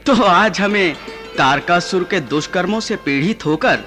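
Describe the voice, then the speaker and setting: An actor playing a solemn, patient male god who instructs with authority in a measured, declamatory radio drama style. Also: high-pitched, lively intonation, sharp tone, exaggerated voice. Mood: grave. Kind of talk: mythological radio drama acting. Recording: studio radio play with music behind.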